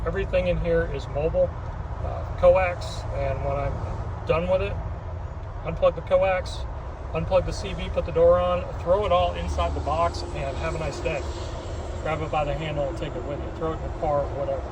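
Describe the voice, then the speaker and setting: Higher-voiced man rambling casually, noisy recording. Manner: rambling, plain-spoken, occasional swearing. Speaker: truck driver showing his gear. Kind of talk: casual product walkthrough with anecdotes.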